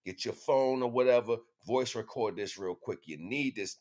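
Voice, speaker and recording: A man's voice: medium pitch, moderate pace, darkish tone. A man hosting an informal spiritual teaching livestream, talking in slang with emphatic, repeated phrases. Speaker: livestream host.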